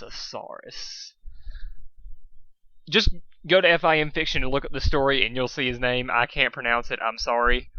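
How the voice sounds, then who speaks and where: A man's voice: mid-range voice, bright, forceful tone, no echo. Muffled lo-fi recording, man, dry close sound, casual amateur story reader, self-deprecating and stumbling. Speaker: amateur fan fiction reader. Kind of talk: casual rambling introduction and informal story reading.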